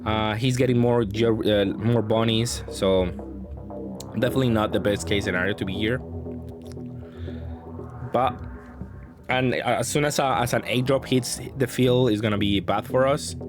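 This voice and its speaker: Low-voiced man thinking aloud over a game. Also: steady tone, moderate pace, long pauses. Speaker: card game player and channel host. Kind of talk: casual gameplay commentary. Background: background music.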